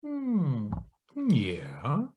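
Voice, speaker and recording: An actor playing a laconic, shrewd police lieutenant in an audio drama, speaking in a terse, dry, husky way. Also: male voice, fairly high pitch, wildly swooping pitch, very slow, dark tone, exaggerated voice. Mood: dry, guarded.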